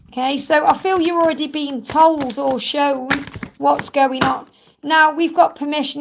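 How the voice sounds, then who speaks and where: Croaky high-voiced woman reading cards. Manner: soothing and reassuring, repeats phrases often. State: croaky, tired voice from much talking. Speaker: tarot card reader and live-stream host. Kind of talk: live-stream Q&A card reading.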